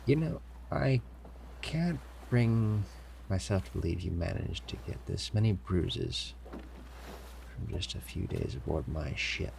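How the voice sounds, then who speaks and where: A man's voice: sits low, animated, vocal fry, darkish tone, heavily acted. A man playing a stern, menacing pirate captain who grudgingly cares, in a commanding audio roleplay with noisy ambience behind him. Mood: suspicious, concerned. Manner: slow, commanding, with sighs and feigned indifference. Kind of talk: audio roleplay acting, addressing a captive listener.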